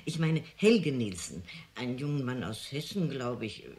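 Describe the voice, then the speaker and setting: An earnest woman visitor in a radio play. She speaks haltingly but emphatically, with very lively, swinging pitch over faint background noise. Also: exaggerated voice. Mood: earnest, insistent. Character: hesitant, earnest woman visitor reporting a clairvoyant. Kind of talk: German radio play acting.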